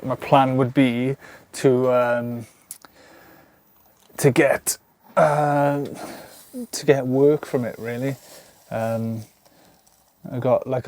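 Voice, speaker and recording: A Welsh man in his thirties rambles to camera with many ums and restarts, his voice mid-pitched and his words salted with swearing. The sound is close and dry, with little room echo. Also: darkish tone. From South Wales. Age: 30s. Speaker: vlogger. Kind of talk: casual unscripted talk to camera. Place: inside a camper van.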